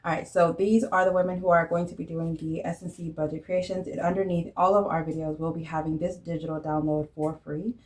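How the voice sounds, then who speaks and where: A woman's voice: sits low, level tone, brisk, hardly any pauses, a little hiss or hum. A woman in her late thirties chatting casually to camera about her budget, rambling with many fillers and restarts. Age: 30s. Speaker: budgeting vlogger.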